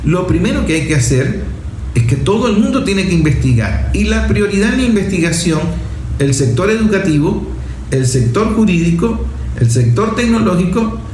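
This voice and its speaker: A man's voice: high-pitched, very animated, normal speed, a little mellow. A man with a Venezuelan accent giving impassioned political commentary, insistent and repetitive, driving points home with rhetorical questions. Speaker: journalist and speaker. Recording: echoey room with faint noise.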